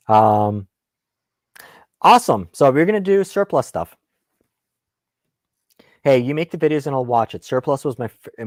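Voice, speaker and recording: A man's voice: mid-range voice, darkish tone, dry recording, clean studio sound. A man whose first language is Russian, hosting a casual live question-and-answer stream about guns in a rambling, self-deprecating, lightly salty way.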